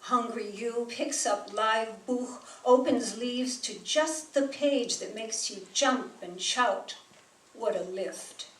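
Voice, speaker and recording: A woman whose first language is German, reading her poems slowly and deliberately at a live bookstore event. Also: a little high, fairly bright tone. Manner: slow, measured delivery with pauses. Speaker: poet. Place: bookstore reading room with an audience. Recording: roomy, distant sound with faint noise.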